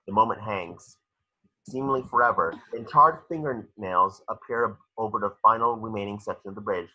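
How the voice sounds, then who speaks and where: A man's voice: on the low side, sharp tone, highs cut off. A man reading stage directions aloud as narrator in a dramatic screenplay read-through.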